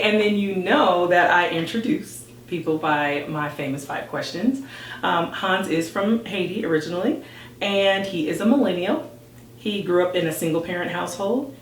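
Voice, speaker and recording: An American woman hosting a podcast interview in a chatty, informal and excited way. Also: fairly low pitch. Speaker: podcast host. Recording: roomy, distant mic with background noise.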